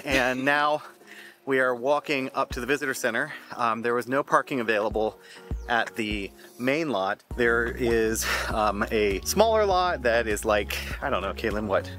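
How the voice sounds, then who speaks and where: A man's voice: medium pitch, a bit bright, faint music behind. A man in his forties co-hosting a casual travel vlog outdoors in a mountain park, playful and joking. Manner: playful, joking, quick talk.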